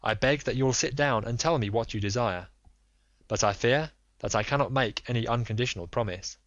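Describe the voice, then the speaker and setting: A man voicing a reserved Victorian male detective who speaks in a measured, formal and guarded way. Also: mid-range voice, a bit bright, no echo, lightly acted. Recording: dull lo-fi dry recording.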